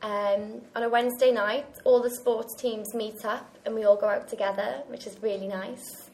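A woman's voice: a little high, steady tone, moderate pace, a little mellow, slight background noise. A young woman from Wales, a university student chatting casually and laughing often. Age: young adult. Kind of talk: casual chat.

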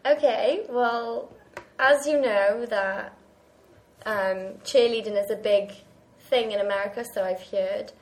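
A woman's voice: medium pitch, slow, poor audio. Chatty young woman. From Wales. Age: young adult. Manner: chatty, laughs often. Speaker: university student. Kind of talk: casual chat.

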